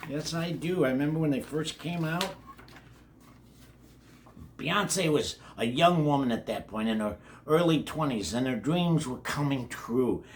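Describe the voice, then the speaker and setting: A man's voice: fairly high pitch, measured pace, a little hiss or hum. An older man reading a children's book aloud in a folksy way, with chatty asides to his young listeners. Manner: folksy, addresses children directly, repeats words. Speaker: children's read-along host.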